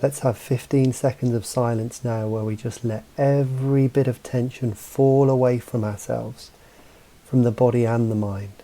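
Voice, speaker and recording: A man guiding a mindfulness meditation as a coach, speaking in a calm, measured, instructive way with pauses between phrases. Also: mid-range voice. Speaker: meditation guide and mental coach. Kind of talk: guided mindfulness meditation narration.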